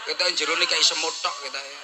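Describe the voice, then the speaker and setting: A man, co-hosting with quick, laughing banter. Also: a little high, fairly even intonation, heavy background noise. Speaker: co-host. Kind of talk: joking stage banter.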